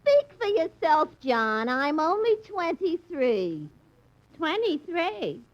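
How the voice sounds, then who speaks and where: A woman's voice: high voice, very animated, takes it slowly, full character voice. A woman playing chatty wisecracking telephone operators in a 1950s radio comedy, with a brassy manner. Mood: gossipy. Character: two wisecracking female switchboard operators. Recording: lo-fi old radio broadcast with studio audience.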